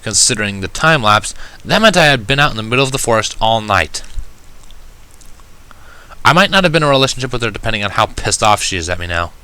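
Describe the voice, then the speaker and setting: A man reads first-person fiction aloud. His voice sits a little low but has a bright, forward edge. The delivery is measured and wry, in the manner of an audiobook narrator. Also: expressive, smooth. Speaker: fan fiction reader.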